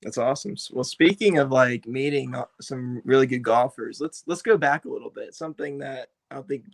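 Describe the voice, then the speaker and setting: A man's voice: mid-range voice, brisk, creaky, no echo. A man co-hosting a casual interview, asking upbeat questions and laughing easily. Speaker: podcast co-host.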